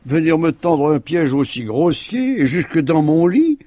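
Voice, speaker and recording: An actor playing an ailing, sardonic middle-aged husband in a French radio mystery, with a husky voice and ironic, drawling delivery. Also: male voice, a little high, sing-song, measured pace, dark tone, no room sound. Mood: mocking. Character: ailing, sardonic, bedridden husband suspected of murder.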